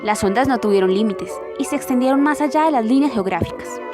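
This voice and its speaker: A woman's voice: a little high, fairly quick. A woman reading a scripted documentary narration in a formal manner. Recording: loud music behind the voice. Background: music.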